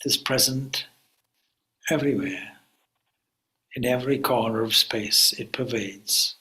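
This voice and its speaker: A man leading a guided meditation as a spiritual teacher, speaking calmly and deliberately in short phrases with pauses. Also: medium pitch, rough recording.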